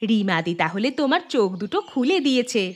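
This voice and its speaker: A woman voicing a perky, outspoken young village girl in a cartoon, lively and direct. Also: on the high side, fairly quick, slight background noise. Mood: amazed. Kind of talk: cartoon story dialogue.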